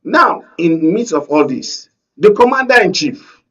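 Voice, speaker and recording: Husky Nigerian man, slightly distant and muffled. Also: sits high, very animated, unhurried, fairly bright tone. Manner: insistent, holds the floor, repeats phrases. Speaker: panel guest, media analyst. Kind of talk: political panel discussion. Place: radio and TV studio.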